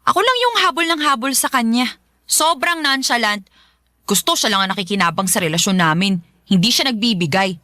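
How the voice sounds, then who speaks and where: A young woman in love in a radio drama. Her high, bright voice swings in pitch as she banters candidly, recorded close and dry. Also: fairly quick, no echo. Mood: exasperated, playful. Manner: expressive, bantering. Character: lovestruck young career woman, playful and candid.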